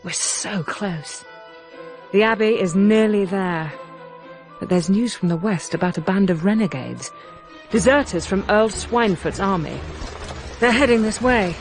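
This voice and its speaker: An actress playing a grave, hopeful noblewoman ruler, narrating game cutscenes in a solemn, measured, earnest way. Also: on the low side. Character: grave, hopeful noblewoman ruler rebuilding her war-torn land. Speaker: campaign narrator. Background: background music.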